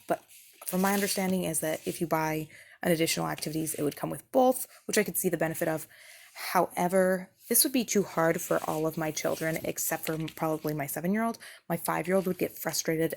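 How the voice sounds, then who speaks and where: A woman's voice: sits low, vocal fry, slight background noise. A woman in her thirties giving a casual, explanatory product review in a conversational way, like a parent vlogger. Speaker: parent reviewing children's subscription boxes.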